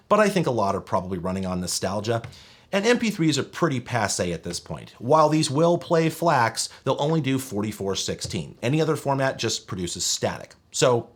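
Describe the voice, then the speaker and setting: Male video host, mid-pitched with very animated delivery; wry, opinionated, wordy gadget-review narration. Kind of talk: scripted review narration, conversational.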